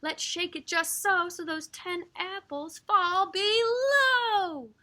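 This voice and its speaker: A woman hosting story time as a children's librarian, reading and chatting to young children in an animated, sing-song, encouraging way. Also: extremely high pitch, takes it slowly. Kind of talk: expressive read-aloud and chat for young children.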